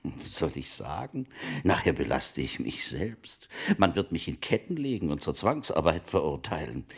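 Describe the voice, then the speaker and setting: An actor playing a wealthy, wily merchant of old Italy in a German radio play, speaking formally and deliberately in a gravelly, husky voice. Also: male voice, extremely low pitch, sing-song, full character voice. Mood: wry, defensive. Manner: formal archaic phrasing, deliberate storytelling.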